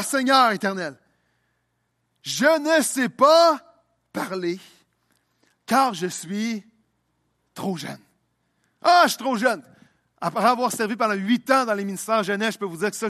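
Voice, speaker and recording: Young male pastor, high voice, preaching with rising fervor. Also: a bit bright. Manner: preaching with rising intensity, repeating phrases. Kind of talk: sermon.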